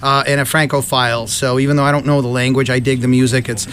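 A man's voice: mid-range voice, little pitch movement, quick pace, a bit bright, a little hiss or hum. A man being interviewed as a band musician, chatting casually with hesitant, repetitive phrasing. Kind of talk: casual chat.

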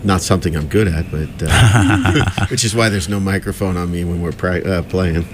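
A middle-aged man with a very low voice talks casually as an interviewed musician. He is dry and self-deprecating, over clear ambient noise. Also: fairly even intonation, normal speed. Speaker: band musician being interviewed. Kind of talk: casual chat.

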